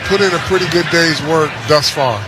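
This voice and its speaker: A man's voice: a little high, a little fast, heavy background noise. An American man giving blunt, conversational color commentary on a live football radio broadcast.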